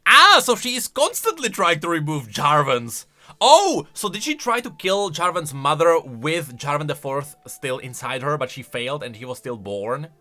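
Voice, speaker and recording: Casting: male game-lore host, sharp forceful higher voice, swinging intonation, excitable off-the-cuff reactions. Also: normal speed. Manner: excitable, emphatic.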